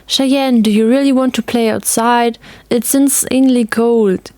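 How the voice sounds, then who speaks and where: A woman voicing a shy, polite and reluctant young boy in an audio story, speaking in a hesitant, halting way. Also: on the high side, steady tone, warm tone, no echo, natural voice.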